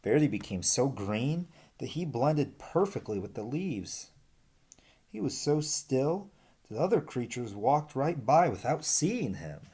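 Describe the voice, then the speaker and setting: A man's voice: medium pitch, big pitch swings, a little slow, mellow, breathy edge. A man narrating a children's picture book expressively as a read-aloud storyteller.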